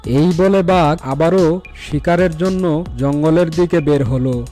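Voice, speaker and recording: Man, cartoon story narrator, scripted delivery, slightly high pitch, faint background music. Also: a little slow, warm tone. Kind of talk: scripted fairy-tale narration.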